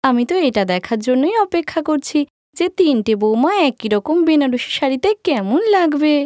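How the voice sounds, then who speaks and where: A woman's voice: high voice, animated, moderate pace, darkish tone, dry recording, studio quality, pitched above the performer's own voice. A woman voicing a nosy middle-aged neighbour in a cartoon story, effusive and prodding. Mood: gushing.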